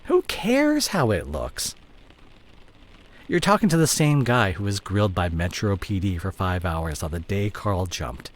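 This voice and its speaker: Man acting smooth, dramatic cheating CEO boyfriend, animated pitch. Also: measured pace, heavily acted. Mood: exasperated. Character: cheating fallen CEO boyfriend, smooth-talking, evasive, image-obsessed. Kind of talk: intimate boyfriend roleplay audio drama.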